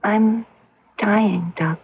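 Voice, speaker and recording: Frail, breathy dying wife. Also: female voice, medium pitch, steady tone, very slow, exaggerated voice. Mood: weary, resigned. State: weak, breathy. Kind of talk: old-time radio drama acting. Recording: dull lo-fi radio drama recording.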